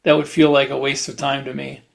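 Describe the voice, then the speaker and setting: A man chatting casually as a podcast host, in a halting, rambling way with repeated words. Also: medium pitch, level tone, gravelly. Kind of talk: casual chat.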